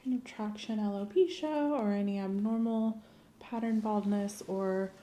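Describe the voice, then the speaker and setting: Soft, mellow woman whispering in ASMR. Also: medium pitch, measured pace. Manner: soft-spoken, often whispering, gentle, clinical. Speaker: ASMR performer playing a medical examiner. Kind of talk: ASMR medical exam roleplay.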